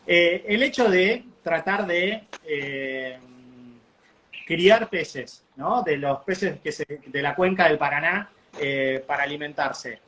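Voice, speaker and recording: A man's voice: a little high, slow, fairly bright tone. A young man from Argentina, a guest in a live interview who speaks hesitantly with many fillers. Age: young adult. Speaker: guest, fishing association member. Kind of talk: live interview, casual chat. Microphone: video call.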